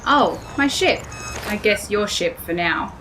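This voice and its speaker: A woman's voice: medium pitch, animated, unhurried, a bit bright, slight background noise, low-quality recording. A woman playing a self-assured, blunt pilot and bomb tinkerer with a wry manner in an audio drama. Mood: cocky, wry. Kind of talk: scripted audio drama acting.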